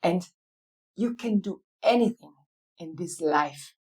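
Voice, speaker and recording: A woman's voice: fairly low pitch, animated, slow pace, a little mellow, slightly rough. A woman from Africa speaking as an interview guest in a motivational way, repeating key phrases for weight. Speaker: interview guest, real estate company CEO.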